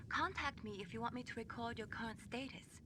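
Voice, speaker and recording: A woman's voice: brisk, lightly acted. An actress playing an earnest, helpful young female technician in a video game, explaining things precisely. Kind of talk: scripted video game voice acting. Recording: clearly noisy game audio with low rumble.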